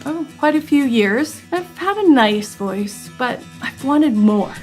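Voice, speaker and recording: A woman in her sixties, a singing student giving a calm, reflective testimonial. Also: high voice, takes it slowly, faint music behind. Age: 60s.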